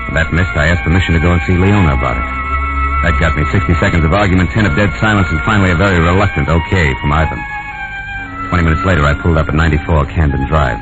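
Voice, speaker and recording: An actor with an American accent playing a tough, wry private detective in clipped 1940s radio noir style. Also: male voice, low voice, close to an everyday voice. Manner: clipped, hard-boiled, wry. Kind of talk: 1940s radio acting, first-person noir narration. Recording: dull old radio broadcast, music behind.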